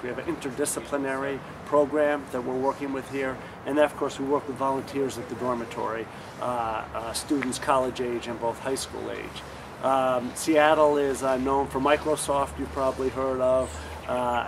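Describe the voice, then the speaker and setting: A man talks steadily about his students' program, in a measured, explanatory way with frequent filled pauses. He is recorded outside with clear street and vehicle noise behind him. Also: medium pitch. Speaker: landscape architecture professor leading a student program. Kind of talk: on-site interview. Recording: noisy outdoor street recording.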